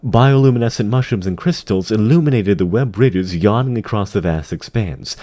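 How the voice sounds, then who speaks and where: Dark-voiced man narrating dramatic fantasy. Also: on the low side, lively intonation. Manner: theatrical, deliberate storytelling. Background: music under the voice.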